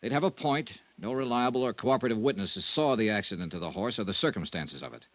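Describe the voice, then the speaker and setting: A male actor plays a dogged, insistent investigator from a vintage radio detective show. His pitch swings widely as he narrates and presses people, wry and brisk. The old radio recording is dry, with no room around it. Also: lively intonation, no echo, natural voice. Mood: pointed. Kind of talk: 1950s radio detective drama acting.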